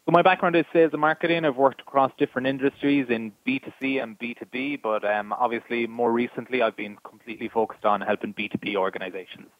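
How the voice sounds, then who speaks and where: A man with an Irish accent, a guest panelist on a radio talk show, speaking in a friendly, brisk way over the phone. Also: mid-range voice, fairly even intonation, dry recording. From Ireland. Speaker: guest panelist, marketing team lead. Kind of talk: casual radio interview. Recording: tinny phone line.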